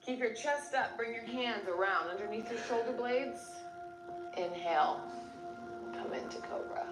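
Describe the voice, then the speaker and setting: A woman yoga instructor guiding a class step by step with gentle encouragement. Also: fairly high pitch, measured pace. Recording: roomy echo with music behind. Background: background music.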